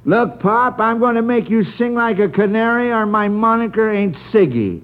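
Male performer as slangy tough-guy mobster, animated, menacing and brash, vintage thin radio sound. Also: sits high, normal speed, phone-quality sound, pitched above the performer's own voice. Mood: menacing, bossy. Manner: brash, threatening street slang. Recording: band-limited old radio broadcast.

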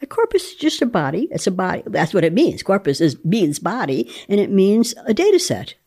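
A woman's voice: mid-range voice, big pitch swings, brisk, mellow, breathy edge. A woman, a psychologist guest, reading research aloud dramatically and explaining it in plain, emphatic words.

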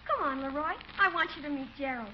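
A woman's voice: high-pitched, quick pace, natural voice. A woman playing a sweet, coy, gushing little girl in old-time radio comedy style. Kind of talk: 1940s radio comedy acting. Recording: muffled, noisy old radio recording.

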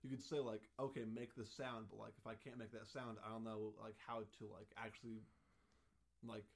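A man's voice: fairly low pitch, level tone, normal speed. A young man hosting a casual chat, telling a story in a chatty way full of "like" and restarts. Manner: chatty storytelling, heavy use of "like".

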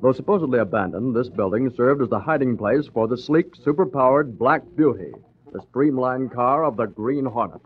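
A man narrating a 1940s radio drama as announcer, with dramatic, measured phrasing. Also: mid-range voice, lively intonation. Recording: muffled, noisy vintage radio recording.

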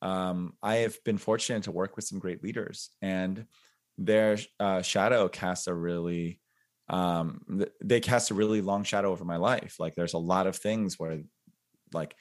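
Reflective man in his forties, low voice. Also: fairly even intonation, measured pace. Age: 40s. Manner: reflective, thinks aloud with many fillers and restarts. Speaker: podcast guest. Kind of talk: casual interview chat.

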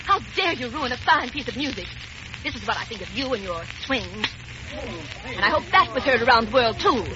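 A woman's voice: a little high, very animated, moderate pace, background noise. A woman playing a proud, indignant singer in an old radio play, declaiming haughtily. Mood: furious, haughty. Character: indignant proud female singer, outraged at being mocked. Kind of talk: 1930s-40s radio play acting. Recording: old muffled radio recording with music.

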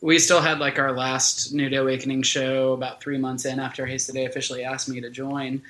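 A man in his late twenties with a bright, forward voice. He tells stories casually and informally, as a band singer being interviewed, at a medium pitch. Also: flat delivery, brisk. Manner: colloquial, informal, frequent "like" and "dude". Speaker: guest, band vocalist.

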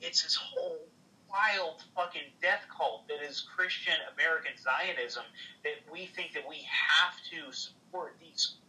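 Man, piercingly bright tone, rambling casual chat, lots of fillers, mic far off. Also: on the high side, measured pace. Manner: rambling, heavy on "like" fillers, profane. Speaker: livestream guest. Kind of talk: casual livestream conversation.

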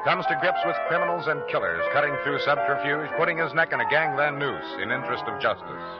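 A man's voice: on the high side, animated, a little fast. An American man announcing in a formal, punchy, scripted 1950s network radio style. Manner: formal, punchy promotional delivery. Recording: dull old radio recording over loud music. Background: loud music.

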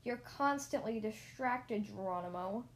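A woman's voice: high voice, animated, slow pace, pitched above the performer's own voice. A woman voicing the fussing relatives of a storybook mouse, exclamatory, concerned and chiding. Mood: scolding. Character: fussing, scolding rodent family, excitable and concerned.

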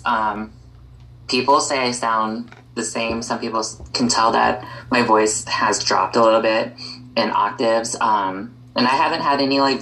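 A woman with an extremely low, gravelly voice chats as a podcast guest. She talks in an easygoing way, pausing on ums and likes as she thinks aloud. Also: fairly bright tone, poor audio. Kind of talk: casual conversation.

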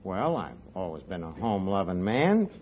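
An actor playing a brash, slangy, wisecracking tavern manager in a 1940s radio comedy. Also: male voice, low-pitched, sing-song, normal speed. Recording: dull old radio broadcast, audience laughter.

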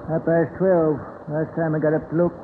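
An actor playing a plain-spoken, worried New farmer with a folksy, terse in an old radio play. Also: male voice, on the high side, flat delivery, moderate pace, close to an everyday voice. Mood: grumbling, uneasy. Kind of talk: 1940s radio acting. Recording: noisy, tinny old radio recording.

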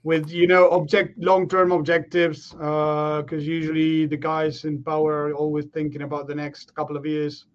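A man, his voice sitting a little high for a man. He answers in a relaxed, reflective way and pauses often on fillers. The remote call audio is slightly dull and lo-fi. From Argentina. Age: 30s. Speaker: guest, professional rugby player. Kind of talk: remote podcast interview.

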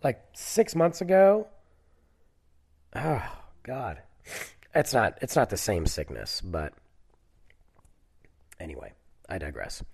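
A man's voice: lowish voice, sing-song, takes it slowly, gravelly, long pauses, a little mellow, husky. A man in his forties, stuffy from a cold, chatting casually on his own and rambling through stories with lots of fillers. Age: 40s. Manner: rambling, digressive, many fillers. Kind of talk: casual solo chat.

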